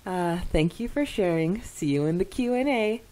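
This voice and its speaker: A woman co-hosting a podcast in casual, chatty style, reading comments with hesitant pauses and giggles. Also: lowish voice, animated, mellow. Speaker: podcast co-host.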